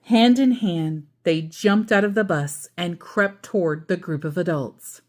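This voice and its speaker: A woman's voice: lowish voice, measured pace. A woman reading a children's story aloud expressively, with casual asides along the way.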